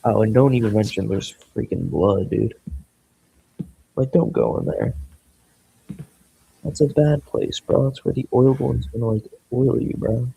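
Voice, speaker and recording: A man's voice: mid-range voice, unhurried, vocal fry, long pauses, dark tone, breathy edge, rough recording. A man hosting a reaction video, commenting casually in slangy, joking asides to the person on screen. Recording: faint background noise.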